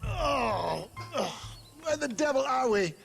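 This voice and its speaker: An actor playing a florid, melodramatic wounded swindler in a western video game, groaning weakly in an American accent. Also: male voice, very high-pitched, very animated, slow, creaky, full character voice. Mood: woozy gratitude. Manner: florid, theatrical, pleading. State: injured, weak, groaning. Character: wounded, melodramatic frontier swindler begging and flattering. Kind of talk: video game cutscene acting. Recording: loud music behind.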